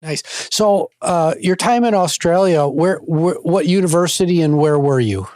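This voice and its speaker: A man's voice: a little high, expressive, normal speed, a little mellow, no echo, studio quality. A man hosting a podcast, interviewing a guest in a conversational way. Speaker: podcast host.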